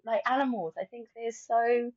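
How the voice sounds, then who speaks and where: A woman's voice: fairly high pitch, steady tone, normal speed, darkish tone, dry recording, dull audio. A woman hosting a casual podcast conversation, speaking in an informal, reflective way. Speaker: podcast host.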